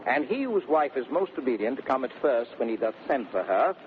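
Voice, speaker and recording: An actor playing a boastful, commanding husband in 1930s radio Shakespeare, declaiming theatrically in a domineering manner. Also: male voice, mid-range voice, very animated, a little fast, slight background noise, natural voice. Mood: confident, wagering. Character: boastful, commanding husband who has tamed his wife. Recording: muffled old radio recording with crowd din.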